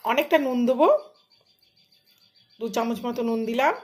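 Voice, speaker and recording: Higher-pitched woman narrating recipes. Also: normal speed. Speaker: home cook hosting a cooking channel. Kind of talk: casual step-by-step recipe narration.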